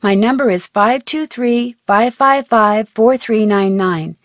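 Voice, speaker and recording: A woman's voice: on the high side, slow, no echo, clean studio sound. A woman voicing a curious, polite young amateur detective in a video game, asking earnest questions. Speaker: player character.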